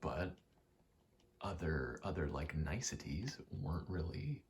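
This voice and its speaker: A man in his twenties doing a casual ASMR gaming ramble, soft-spoken and often whispering, in a halting, meandering way. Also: very deep voice, very animated. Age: 20s. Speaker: ASMR gaming video host. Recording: faint noise, game button clicks. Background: controller clicking, faint background noise.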